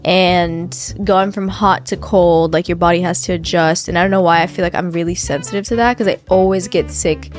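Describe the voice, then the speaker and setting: A woman's voice: on the low side, steady tone, a little fast, hardly any pauses, soft background music. A woman co-hosting a podcast, sniffly from a cold, chatting casually with lots of likes and restarts. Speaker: podcast co-host. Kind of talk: casual chat.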